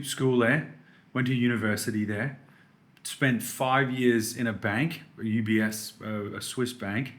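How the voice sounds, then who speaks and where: A man's voice: fairly low pitch, a bit bright. A man in his thirties from Australia with an Australian accent, a podcast guest chatting casually and enthusiastically, full of restarts and fillers. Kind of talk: casual interview chat.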